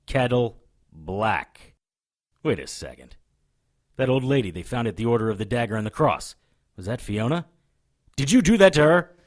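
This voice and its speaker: A man's voice: mid-range voice, animated, normal speed, long pauses, natural voice. A man playing a sarcastic, impatient, world-weary reluctant champion in an audio drama, wisecracking with exasperation. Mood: wry, suspicious.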